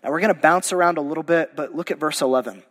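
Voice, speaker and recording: Hoarse-voiced pastor preaching in a reverberant hall. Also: male voice, a little high, quick pace, a bit bright. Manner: informal, conversational preaching with restarts. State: losing his voice. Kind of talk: verse-by-verse sermon. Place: church sanctuary.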